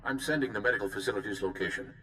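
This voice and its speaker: An actor voicing a calm, principled Jedi mission leader in a video game, with a formal, courteous manner. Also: male voice, on the low side, rapid-fire, a little hiss or hum, rough recording. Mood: urgent.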